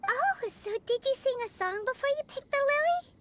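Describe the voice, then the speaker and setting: A woman voicing a tiny, excitable childlike fairy companion in a video game, with a squeaky voice. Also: normal speed, background noise. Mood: curious, surprised. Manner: exclaiming, third-person self-reference.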